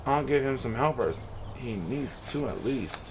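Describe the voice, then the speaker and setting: Animated man reading a rhyming storybook. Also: medium pitch, a little slow, slight background noise, poor audio. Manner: theatrical, expressive delivery. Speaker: storybook reader. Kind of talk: animated read-aloud of a rhyming children's storybook.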